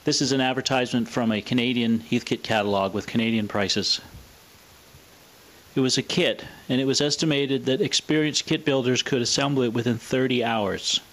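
Guy reading a scripted demo voiceover, average pitch, matter of fact, explains things step by step. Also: normal speed, a bit bright, poor audio. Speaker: presenter of a vintage radio showcase video. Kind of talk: scripted narration, explanatory product demonstration.

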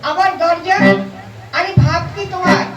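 A woman playing a sharp-tongued, suspicious village housewife in a comic puppet show, nagging and retorting in exasperation. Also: extremely high pitch, steady tone, a little slow, over a noisy crowd. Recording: echoey, distant, faint music behind.

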